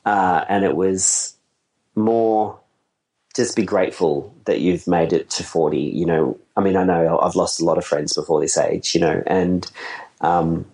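A man's voice: low-pitched, a little slow, a little mellow, rough recording. A man in his forties, a wedding photographer, talking casually in a rambling, self-deprecating way and laughing easily. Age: 40s. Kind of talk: casual conversation.

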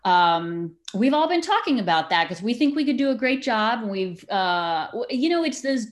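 A woman speaking as an enthusiastic, expressive interview guest, chatting casually about community theater. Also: on the high side, moderate pace. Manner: enthusiastic, expressive big personality.

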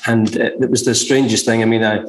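A man from Glasgow with a softened Scottish accent, telling his own story casually and haltingly as a podcast guest. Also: on the low side, little pitch movement. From Glasgow, Scotland. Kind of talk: casual personal storytelling in an interview. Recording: echoey room sound.

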